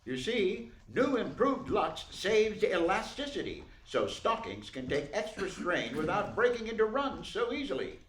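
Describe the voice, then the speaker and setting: A man's voice: sits high, very animated, a little slow, hardly any pauses, a little hiss or hum. A man playing a formal, emphatic 1940s radio announcer who also narrates the drama. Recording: echoey room.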